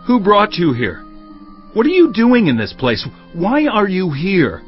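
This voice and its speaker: A man playing a bold, urgent Danite warrior scout in a dramatised audio Bible, with a forceful, commanding delivery. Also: high-pitched, wildly swooping pitch, measured pace, highs cut off, soft background music, heavily acted.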